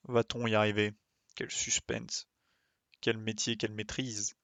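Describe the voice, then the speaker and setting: Guy streaming a game, creaky voice, dry close sound, mumbles little interjections while he figures stuff out. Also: lowish voice, monotone, a little slow, fairly bright tone, dry recording. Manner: mutters short interjections while thinking aloud. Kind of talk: casual live gameplay commentary.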